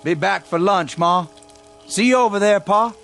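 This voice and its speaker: An actor playing a polite, confident young farm son with a rural Southern American accent in a radio drama. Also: male voice, on the high side, sing-song, a little slow, soft background music. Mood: cheerful, stubborn.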